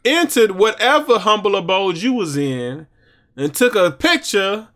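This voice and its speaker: A man co-hosting a podcast, chatting casually in an animated, playful, joking way. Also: high voice, unhurried. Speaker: podcast co-host. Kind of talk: casual comedic chat.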